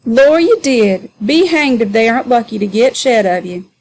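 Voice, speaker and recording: A woman's voice: fairly high pitch, expressive, moderate pace, a little mellow, slight background noise. A woman voicing a boisterous, teasing grown man with a drawling, mocking manner in a novel read aloud. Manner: mocking, drawling, broad dialect.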